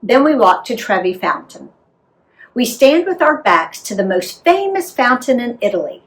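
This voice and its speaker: Animated American woman reading a story aloud. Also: on the high side, normal speed. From United States. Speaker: author and narrator. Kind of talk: expressive scripted read-aloud of a children's travel memoir.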